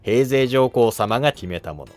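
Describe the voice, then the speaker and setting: An actor playing a humble, cautious monk who advises an emperor in formal, deferential court speech in a historical drama. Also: male voice, mid-range voice, lively intonation, moderate pace, a little mellow, faint music behind, lightly acted. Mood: calm, advising. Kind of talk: Japanese historical drama dialogue.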